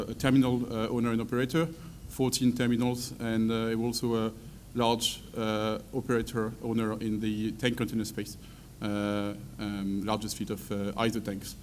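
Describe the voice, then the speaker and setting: A man introducing his corporate venture team on a panel, halting, with an uh between nearly every few words. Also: mid-range voice, a little hiss or hum.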